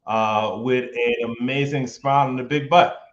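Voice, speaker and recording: A man's voice: medium pitch, steady tone, normal speed. A man from New York chats loosely and with plenty of energy, dropping slang as he talks. His voice comes over a video call from a roomy, echoing space. The sound is muffled and lo-fi. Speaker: real estate investor guest. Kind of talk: casual livestream interview. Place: home in Atlanta, echoey room. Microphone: webcam or camera mic on a video call. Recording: echoey, dull video-call audio.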